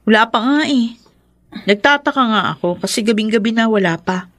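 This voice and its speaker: A woman's voice: on the high side, brisk, fairly bright tone, slight background noise, natural voice. A Filipino woman playing a worried, aggrieved young daughter in a radio drama, insistent and plaintive. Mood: puzzled, worried.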